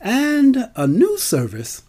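A man giving an unscripted promotional talk in an emphatic, deliberate way, stretching and repeating key words. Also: very high-pitched, huge pitch swings, warm tone. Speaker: storyteller and film festival organizer.

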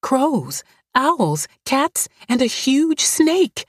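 A scared young girl in a storybook, pleading in a high, breathless voice, as a woman reader puts her on. Her voice comes out husky and dry, close to the mic. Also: big pitch swings, moderate pace, no echo, heavily acted. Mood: frightened. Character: frightened young girl Mildred.

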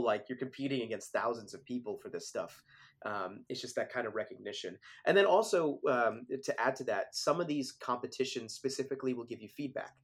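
A young man in mid-range pitch, talking through his experience as a filmmaker in a relaxed interview. He keeps it conversational, sprinkling "like" and "you know" as he thinks aloud. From Philadelphia area, born in Switzerland. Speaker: filmmaker guest. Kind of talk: casual interview talk.

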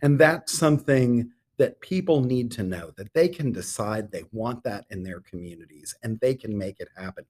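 Middle-aged man, measured interview guest. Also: mid-range voice, animated, a little mellow. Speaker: podcast guest, theatre artistic director. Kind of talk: conversational interview talk.

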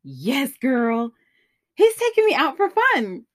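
Narrator playing a playful young woman, animated, high. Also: slow, dry recording, clean studio sound, full character voice. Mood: giddy, excited. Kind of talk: audiobook character dialogue.

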